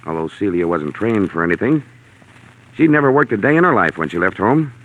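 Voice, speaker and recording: Anxious, formal, low-voiced client. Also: male voice, sing-song, brisk, phone-quality sound. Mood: hesitant. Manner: careful, proper, insistent. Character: anxious, formal male client seeking a missing girl. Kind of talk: 1940s radio drama acting. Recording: vintage 1940s radio recording.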